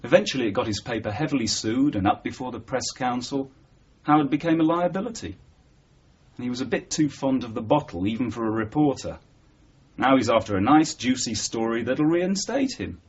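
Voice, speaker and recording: A very husky, reserved detective. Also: male voice, mid-range voice, animated, a little fast. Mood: cautionary. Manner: polite, hesitant, understated. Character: reserved, courteous, cautious police detective sergeant. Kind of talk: radio drama dialogue. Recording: dull, slightly muffled 1980s radio broadcast.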